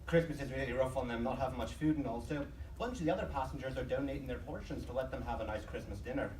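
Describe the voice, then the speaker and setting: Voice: medium pitch, fast talker, darkish tone, slight background noise, lightly acted. An actor playing a polite, nervous, earnest man collecting for charity in a live audio drama, eager and pleading. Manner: hesitant starts, eager, pleading. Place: convention live show stage. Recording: echoey live stage, distant mic.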